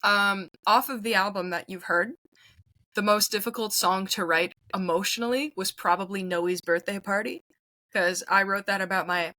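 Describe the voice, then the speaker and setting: Bright-voiced young woman in a candid interview. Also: medium pitch, no room sound. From Quebec, Canada. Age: 20s. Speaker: guest, singer-songwriter. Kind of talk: reflective conversational interview.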